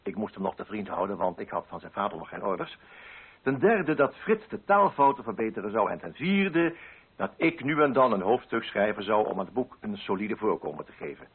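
An actor playing a pompous, prudish middle-aged coffee broker with a Netherlands Dutch accent in a radio play monologue. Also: male voice, on the low side, sing-song, fairly quick. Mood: scheming, smug. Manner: pompous, fussy, self-righteous. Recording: husky, narrow-band old radio recording.

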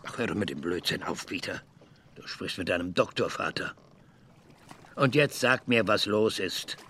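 An actor playing an older, hot-tempered retired space scientist in a radio drama, with a halting, blustering manner. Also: male voice, low-pitched, lively intonation, bright, forceful tone, husky, exaggerated voice. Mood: angry, indignant. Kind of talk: German radio drama acting.

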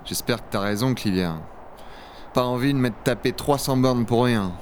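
A man's voice: mid-range voice, normal speed, slight background noise, close to an everyday voice. A man playing a rugged, wry dragon hunter of a frozen future in a science-fiction audio drama. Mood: weary, casual. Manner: gravely reflective narration, familiar offhand banter. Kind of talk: French audio-fiction acting and first-person narration.

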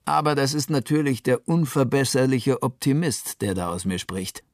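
A man's voice: medium pitch, moderate pace, no echo, studio quality, lightly acted. A man voicing a thoughtful, optimistic eyewitness in a scripted documentary radio play. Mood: reflective. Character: thoughtful male eyewitness in Japan, self-declared optimist.

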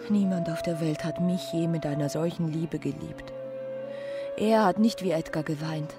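A woman's voice: low voice, normal speed. An actress playing a romantic, dreamy young married woman in a radio play, speaking in an intimate, yearning way. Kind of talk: German radio play acting. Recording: music behind the voice. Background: music.

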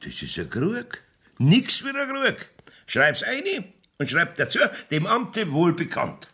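Gravelly, swooping Bavarian village judge. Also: male voice, sits high, huge pitch swings, slow, sharp tone, heavily acted. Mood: grumbling. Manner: folksy, bossy, theatrical. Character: blustering, evasive rustic Bavarian village judge. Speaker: actor in a radio play. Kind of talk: 1970s dialect radio play acting.

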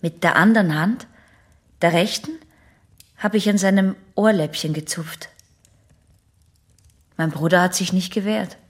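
An actress playing a reluctant, wistful young patient in an intimate radio drama, with a husky, breathy voice. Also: female voice, fairly low pitch, a little slow, long pauses. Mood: reflective, hesitant.

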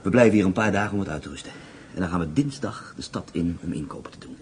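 An actor playing a calm, commanding escaped German prisoner of war who speaks with a German accent. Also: male voice, deep voice, quick pace, a little hiss or hum. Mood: reassuring. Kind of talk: radio play acting.

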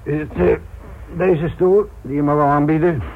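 A radio play's veteran police inspector , acted by a man, blunt and stubborn. He speaks with sardonic gruffness at a middling pitch, and the old radio recording is thin and boxed-in. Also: fairly even intonation, moderate pace, slight background noise, close to an everyday voice. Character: cynical, stubborn police inspector near retirement, biting and blunt. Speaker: actor in a radio play. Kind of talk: radio drama acting. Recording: dull, band-limited old radio recording.

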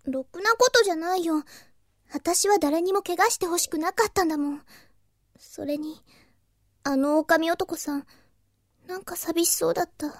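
A woman acting an innocent, kind-hearted young catgirl in an anime-style audio drama, soft and naive. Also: extremely high pitch, normal speed, long pauses, no echo, clean studio sound. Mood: concerned.

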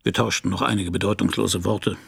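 A man's voice: fairly low pitch, fairly even intonation, fairly quick. An actor playing a world-weary private detective in a radio crime drama, narrating his case in a laconic, dry way. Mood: wry, reflective. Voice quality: husky, gravelly.

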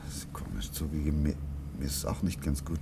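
Animated, courteous investigating doctor. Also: male voice, a bass voice, fast talker, gravelly, background noise, heavily acted. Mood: concerned. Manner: hesitant, stammering, repeats words eagerly. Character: earnest male doctor investigating strange disturbances, courteous, excitable. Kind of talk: German radio play acting.

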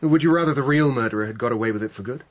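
An actor playing an earnest, troubled doctor in a radio drama, speaking formally and insistently. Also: male voice, medium pitch, racing, lightly acted. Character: earnest, troubled male doctor seeking to clear a name. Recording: music under the voice.

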